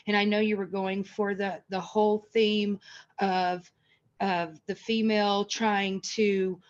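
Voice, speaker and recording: Woman, muffled online call, medium pitch, casual feedback, thinking aloud. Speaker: table read participant and writer. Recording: dull lo-fi video call audio.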